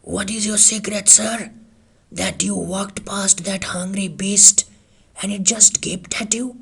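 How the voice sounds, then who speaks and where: A man's voice: high voice, steady tone, takes it slowly, creaky, slightly rough, voice pushed up, heavily acted. A man whispering as an awestruck villager. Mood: awed. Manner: breathless hushed whisper. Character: bewildered village man, hushed and awed.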